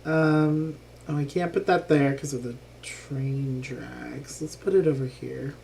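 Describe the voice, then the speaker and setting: Unhurried host with a lingering cough, chatty think-aloud game commentary, light room noise. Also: medium pitch, steady tone, gravelly, darkish tone. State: lingering cough after illness. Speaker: live streamer. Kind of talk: casual live board game playthrough commentary.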